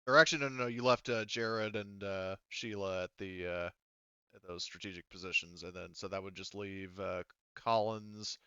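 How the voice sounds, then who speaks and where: A man's voice: low voice, steady tone, moderate pace, sharp tone, smooth, no room sound, highs cut off. A man acting as game master of a tabletop sci-fi roleplaying game, improvising and thinking aloud in a casual way.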